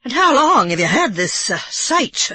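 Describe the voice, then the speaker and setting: Woman doing an irritable ill man, sharp questioning, husky, swinging pitch, storybook. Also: big pitch swings, normal speed, no room sound, heavily acted. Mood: agitated. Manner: sharp, interrogating. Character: irritable middle-aged man, ill and suspicious.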